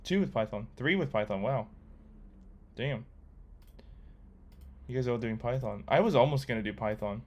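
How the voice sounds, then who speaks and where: A young man on a laid-back coding livestream, talking loosely to his chat with plenty of ums and restarts. His voice sits at an ordinary middle pitch, and keyboard typing is heard behind him. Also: moderate pace, pauses often, muffled recording. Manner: relaxed, rambling, reads chat aloud with many fillers. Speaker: livestreamer learning to code.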